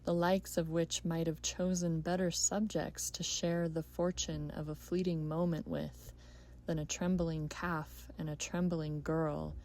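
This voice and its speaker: A woman reads poetry in a low voice. She moves through the lines very slowly. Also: flat delivery, darkish tone. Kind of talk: slow poetry reading.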